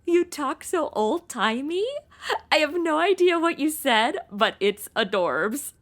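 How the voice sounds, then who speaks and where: An actress playing a sassy, impatient modern twenty-something in a comedy audio drama, flippant and gossipy with plenty of slang. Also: female voice, sits high, expressive, slow. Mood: breezy.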